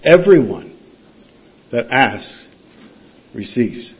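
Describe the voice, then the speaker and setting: A man's voice: mid-range voice, big pitch swings, very slow. A man preaching a sermon, reading scripture aloud and putting questions to the congregation in an earnest teaching manner. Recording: dull lo-fi sound.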